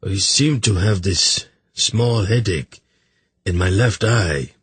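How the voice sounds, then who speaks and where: A wretched, self-pitying royal in a fantasy tale, put on by a man. He moans weakly and plaintively in a breathy, husky voice, drawn out and full of suffering. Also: low-pitched, takes it slowly, dry recording, exaggerated voice. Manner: plaintive, weak moaning.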